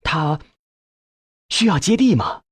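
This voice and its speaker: A man's voice: fairly high pitch, big pitch swings, takes it slowly, husky, no room sound, studio quality, pitched above the performer's own voice, exaggerated voice. A man voicing an earnest, respectful researcher in an audiobook, speaking politely and with urgency as he probes for answers. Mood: earnest, urgent.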